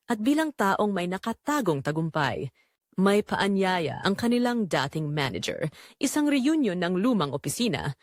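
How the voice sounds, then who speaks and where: Expressive female storyteller with lower voice. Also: no room sound, studio quality. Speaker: audio drama narrator. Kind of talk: scripted dramatic story narration.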